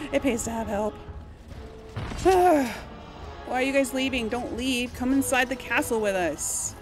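Unhurried woman commentating on a game. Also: fairly high pitch. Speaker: gaming video host. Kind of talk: casual role-play game commentary. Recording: game music under the voice. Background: game music.

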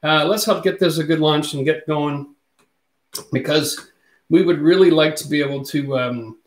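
A man's voice: on the high side, moderate pace, echoey room. A man in his forties hosting a casual livestream, chatting with viewers and reading their comments aloud. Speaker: channel host. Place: home studio room. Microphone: studio mic on boom arm.

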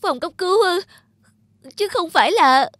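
A woman acting an anxious, strict pregnant mother in an animated moral tale, with an urgent, expressive delivery. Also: very high-pitched, unhurried, no room sound, studio quality, full character voice. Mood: distraught.

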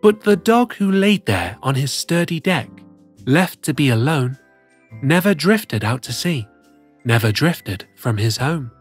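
Performer putting on a swooping, husky cartoon cat storyteller. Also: medium pitch, lively intonation, unhurried, faint music behind. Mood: storytelling. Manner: theatrical, sing-song rhyming delivery. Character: Mr. Whiskers, cheerful plush cat storyteller for children. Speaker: storyteller host. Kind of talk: expressive children's picture-book read-aloud.

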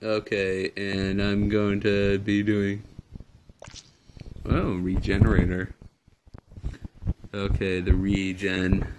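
A man's voice: lowish voice, flat delivery, slow, pauses often, noisy background. A young man casually commenting over a game, rambling and joking, mumbling through on-screen text. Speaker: gameplay video maker.

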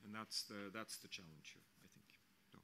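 An older man speaks earnestly into a desk microphone at a panel, his voice bright and forceful. He picks his words with care and often restarts mid-sentence. A faint hum of the hall sits behind him. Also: on the low side. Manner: measured, earnest, with frequent restarts and fillers. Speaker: international mission official on a panel. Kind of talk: unscripted panel remarks. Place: press center hall.

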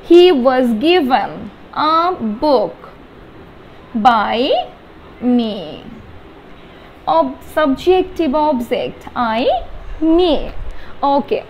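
A young adult Bengali-speaking woman with a Bangladeshi accent, explaining grammar step by step as a teacher, halting and repeating key words with emphasis. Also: high voice. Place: small indoor room with whiteboard. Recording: steady background room noise.

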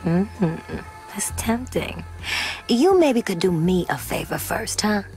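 A woman's voice: mid-range voice, animated, takes it slowly, mellow, faint music behind. An actress playing a tough, sly, street-wise woman who runs a bar, wry and knowing, in a video game. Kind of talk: video game cutscene acting.

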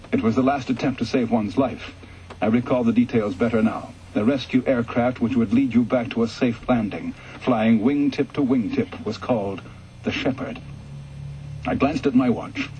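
A man reading a ghost story aloud for radio in a measured, calm way, going soft and low in the reflective passages. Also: a little hiss or hum, poor audio. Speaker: story reader.

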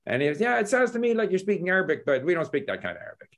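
A man's voice: high-pitched, racing. An older man telling travel stories in a casual video call from his home study, talking with his hands and chuckling. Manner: animated storytelling with gestures, chuckles. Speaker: language learning host. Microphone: laptop webcam video call.

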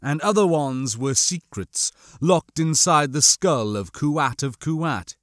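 A man's voice: on the high side, animated, slow, fairly bright tone, no echo. Man narrating an audiobook, dry sound. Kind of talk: expressive scripted fiction narration.

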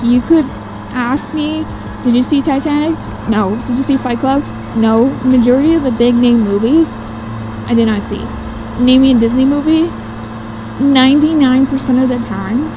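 A woman in her twenties chatting casually and rambling while riding a motorcycle, with a giggly, friendly manner. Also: sits high. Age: 20s. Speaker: motovlogger. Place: outdoors on a moving motorcycle. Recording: wind and engine noise.

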